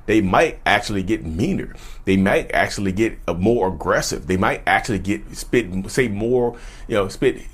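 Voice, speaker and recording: A man talks casually and straight to his viewers, in a colloquial, emphatic way, repeating phrases for stress. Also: lowish voice. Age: late 30s. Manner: colloquial, folksy address to listeners. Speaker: vlogger speaking about his own narcissism. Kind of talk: casual direct-to-viewer talk.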